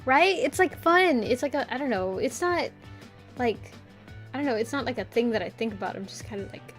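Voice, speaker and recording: A woman's voice: a little high, expressive, fairly quick, faint music behind. A young woman chatting casually to her stream while drawing, rambling with lots of filler words and frequent giggles. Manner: giggly, playful voice play.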